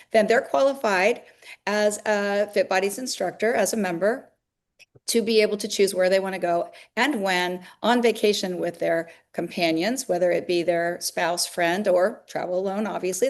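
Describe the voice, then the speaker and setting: An upbeat, talkative woman in her fifties speaks as a guest over a video call. She explains her business with a steady stream of fillers and restarts. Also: medium pitch. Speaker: guest, fitness company founder. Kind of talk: webinar interview.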